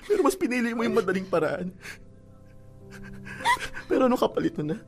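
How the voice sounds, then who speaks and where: A man's voice: very high voice, lively intonation, a little fast. A man with a Filipino accent acting a hurt, jealous, proud young boyfriend in a radio drama, pressing with insistent questions. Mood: hurt, pleading. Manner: accusing, insistent, punctuating with sharp questions. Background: dramatic background music.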